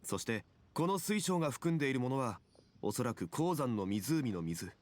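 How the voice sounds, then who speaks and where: An actor playing a composed, learned gentleman in an anime game, formal and calmly authoritative. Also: medium pitch, natural voice. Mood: calm, expert. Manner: measured wording, formal, assured. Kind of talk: Japanese anime game acting.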